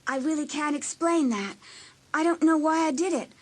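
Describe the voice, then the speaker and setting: An actress playing a hurt, insecure, apologetic teenage girl in an audiobook drama, with an emotional, halting, pleading delivery. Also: high-pitched, close to an everyday voice. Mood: wounded, confessing.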